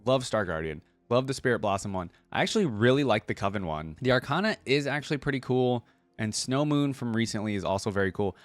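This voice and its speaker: A man's voice: mid-range voice, animated, fast talker, dry recording, faint music behind. A man hosting a reaction video, casually commenting and thinking aloud as he talks to his viewers. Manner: informal, thinks aloud, addresses viewers as guys.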